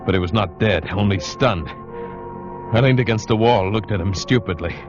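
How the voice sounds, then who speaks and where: An actor playing an adventurer who narrates his own ordeal in a dramatic, halting, suspenseful radio style. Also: lowish voice, over music, close to an everyday voice. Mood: dazed, suspenseful. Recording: dull old radio broadcast recording.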